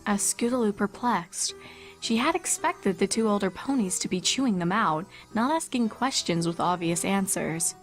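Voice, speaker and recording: A woman's voice: medium pitch, expressive, moderate pace. A woman reading scripted fan fiction as a storybook narrator. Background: background music.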